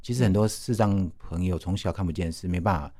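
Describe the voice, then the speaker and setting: A man in his forties speaks Mandarin with a Taiwanese accent. His voice sits a little low. He tells personal stories as a guest, conversationally, in an easygoing and modest manner. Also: quick pace, dark tone. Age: 40s. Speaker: interview guest. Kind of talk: casual conversational storytelling.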